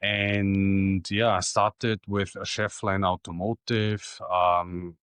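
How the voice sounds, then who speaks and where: A middle-aged man from Austria, German his first language, with an Austrian German accent, answering interview questions in a plain, matter-of-fact way with many pauses. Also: low-pitched, flat delivery, fairly bright tone, smooth, dry recording. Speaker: guest.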